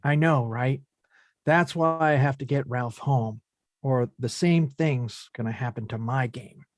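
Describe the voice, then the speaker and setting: A man playing an earnest, anxious, chivalrous small repairman from a video game, polite and excitable, in an animated film table read. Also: medium pitch, measured pace, dry recording, clean studio sound, natural voice.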